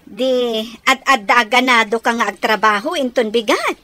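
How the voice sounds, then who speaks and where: Kindly elder sister, Ilocano accent, high bright voice, patient, advice-giving, radio drama style. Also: female voice, normal speed. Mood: cheerful.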